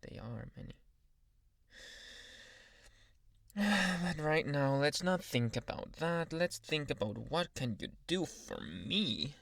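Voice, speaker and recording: A man playing a jealous, dominant anime boyfriend in an ASMR roleplay, teasing and menacing, with a deliberate delivery that dips into whispers. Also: a little high, big pitch swings, vocal fry, fairly bright tone, heavily acted. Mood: condescending, coaxing.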